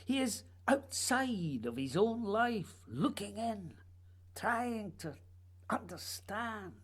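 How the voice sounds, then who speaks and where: A woman's voice: mid-range voice, lively intonation, slow pace, slightly rough. A woman playing a dreamy, evasive male writer with earnest, poetic delivery in a radio play. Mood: reflective, troubled.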